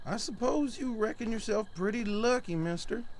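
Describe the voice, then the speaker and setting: High-voiced, folksy small-town constable. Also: male voice, normal speed. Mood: dry, suspicious. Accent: rural American. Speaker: actor in a radio mystery. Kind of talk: old-time radio acting. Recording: noisy old radio drama recording.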